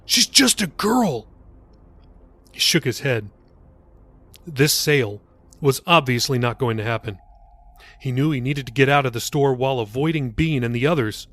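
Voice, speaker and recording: A man's voice: mid-range voice, moderate pace, fairly bright tone, no echo. A man reading his own novel aloud as dramatic, scripted audiobook narration. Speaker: author reading own novel, podcast host. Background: faint music.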